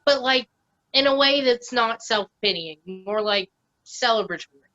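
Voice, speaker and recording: A woman's voice: fairly high pitch, normal speed, pushed and bright, lo-fi sound. Woman playing a blunt, outspoken board member. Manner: blunt, casual. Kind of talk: staged play reading over video call. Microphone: video call.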